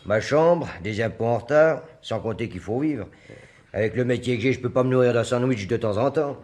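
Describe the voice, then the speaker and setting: Actor as medium-pitched, plaintive young working man. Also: a little fast, darkish tone, lightly acted. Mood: worried. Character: young working-class butcher's boy burdened by debts. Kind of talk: French radio drama acting.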